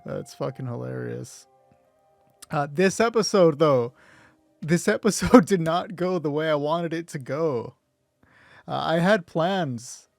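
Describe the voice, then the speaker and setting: A man's voice: on the high side, animated, slow pace, vocal fry, dry recording, clean studio sound, soft background music. A man hosting a solo roleplay show in casual, rambling, self-deprecating commentary, swearing offhandedly. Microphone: close mic with gain set high.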